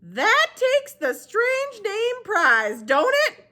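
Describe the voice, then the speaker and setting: A woman voicing a kindly, wise old woman with poor eyesight and a drawling Southern accent, pitched high and loud. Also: wildly swooping pitch, drawn-out words, exaggerated voice. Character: old woman with bad eyes, warm and wise.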